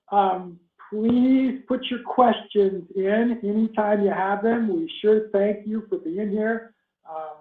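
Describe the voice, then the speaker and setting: A man hosting an online webinar over a video call, chatty and enthusiastic as he welcomes the audience and guest. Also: high voice. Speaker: webinar host. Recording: tinny phone-like line, echoey room.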